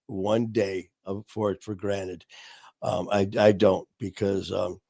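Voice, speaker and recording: A man's voice: lowish voice, gravelly, a little mellow, no room sound, clean studio sound. A man hosting his live online show, chatting casually and gratefully, rambling with lots of fillers. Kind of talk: casual live-stream chat.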